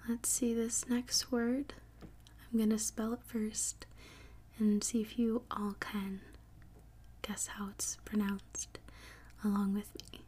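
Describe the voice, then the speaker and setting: A woman podcast host whispering softly in an ASMR style, breathy and unhurried, reading out and repeating words. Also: medium pitch, flat delivery, a little hiss or hum. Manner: soft, unhurried, repeats and spells words slowly.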